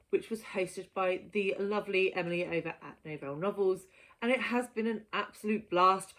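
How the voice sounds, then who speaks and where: A woman's voice: mid-range voice, rough recording. A woman in her thirties chatting casually to camera as a book vlogger, in a giggly, self-mocking manner.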